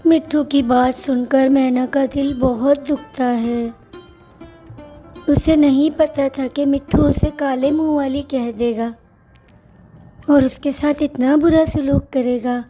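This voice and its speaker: Female narrator, children's story, unhurried pace, mellow tone, scripted delivery, faint music. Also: high voice, fairly even intonation.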